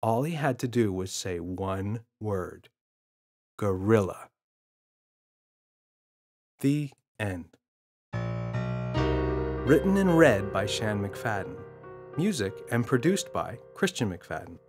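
A man narrating a children's story from a script, as an expressive storyteller. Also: on the low side, takes it slowly, over music. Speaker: author and audiobook narrator.

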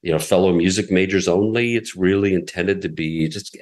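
A middle-aged American man, a radio executive, answering interview questions in a measured, explanatory way with frequent fillers. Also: deep voice. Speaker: classical radio station president, guest.

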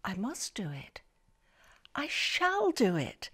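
A woman's voice: mid-range voice, wildly swooping pitch, slow, a bit bright, breathy edge, full character voice. A woman voicing a feisty, gloomy little male earwig in an emphatic, theatrical storybook manner. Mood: determined.

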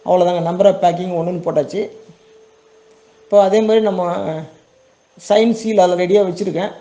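A man's voice: sits high, steady tone, moderate pace, mellow, dull audio. A man with a Tamil Nadu accent giving an informal tutorial in a reassuring, plain-spoken way, often checking in with his listeners. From Tamil Nadu, India. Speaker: export business owner giving a tutorial.

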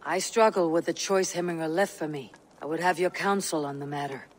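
Low-pitched, brooding Viking warrior. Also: female voice, fairly even intonation. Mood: earnest. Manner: earnest, measured, grave. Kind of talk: dramatic video game acting. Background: music.

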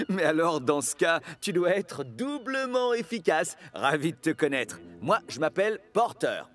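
Cheerful cartoon dock locomotive: confident, easygoing, slightly high and very animated. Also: male voice, unhurried, soft background music. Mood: cheerful, curious. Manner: easygoing, encouraging. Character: cheerful, self-assured, friendly male dockyard steam engine. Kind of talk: children's cartoon acting.

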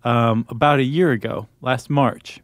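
A man's voice: mid-range voice, unhurried, no room sound, studio quality. An American man co-hosting a podcast in casual, conversational style. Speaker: podcast co-host.

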